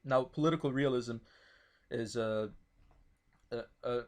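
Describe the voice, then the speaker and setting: A man's voice: medium pitch, measured pace. Hesitant man explaining his views. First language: not English. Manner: thoughtful, many restarts and fillers. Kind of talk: casual discussion.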